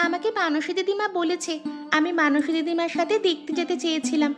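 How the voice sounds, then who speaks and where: A woman's voice: very high voice, fairly even intonation, brisk, faint music behind. A woman voicing a kind-hearted, earnest little village girl in a moral-story cartoon. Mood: sad, earnest. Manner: earnest, sympathetic.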